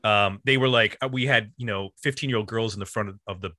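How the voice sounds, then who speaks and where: Casting: male podcast co-host, bright tone, dry sound, rambling casual delivery full of "like". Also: on the low side, quick pace, no room sound. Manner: rambling, uses 'like' constantly. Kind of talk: casual music discussion.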